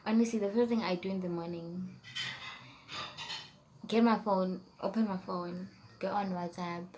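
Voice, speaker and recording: A woman's voice: fairly low pitch, fairly even intonation, unhurried, a little mellow, slight background noise. A young woman from South Africa whose first language is Setswana, chatting casually through a tag video and whispering questions as she reads them. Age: young adult. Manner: chatty, rambling, trails off into whispers while reading questions. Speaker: YouTuber.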